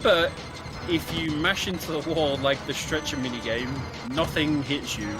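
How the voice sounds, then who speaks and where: A man giving casual, explanatory speedrun commentary in a somewhat halting way. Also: on the high side, rough recording. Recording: game music behind the voice. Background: video game music.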